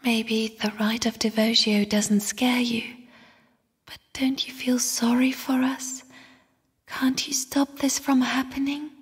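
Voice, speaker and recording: An actress playing a mysterious, sorrowful young spirit in a video game, speaking in a hushed, solemn, airy whisper. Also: female voice, fairly high pitch, level tone, husky, heavily acted. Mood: grave, foreboding, then pleading. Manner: slow, hushed, solemn delivery. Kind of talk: video game character voice acting. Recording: long cavernous reverb.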